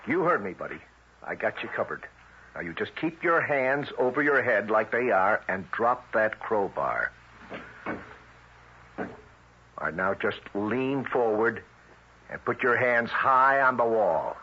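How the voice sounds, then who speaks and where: An actor playing a tough, suspicious federal agent with a brusque, streetwise way of questioning, in 1970s radio drama style. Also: male voice, lowish voice, lively intonation, a little slow, bright, forceful tone, heavily acted. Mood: commanding, menacing. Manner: brusque, interrogating, streetwise wisecracking. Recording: dull, noisy vintage radio recording.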